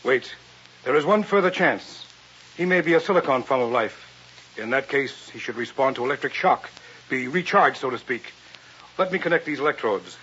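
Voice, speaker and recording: An actor playing a bold, eager male professor, formal and deliberate, in old-time radio drama style. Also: mid-range voice, expressive, bright, forceful tone. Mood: formal, intent. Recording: muffled vintage radio, faint music.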